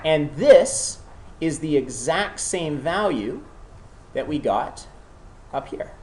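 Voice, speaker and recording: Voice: mid-range voice, expressive, slow, a little mellow. A math teacher who has a cold gives a classroom lecture, often checking in with students and encouraging them.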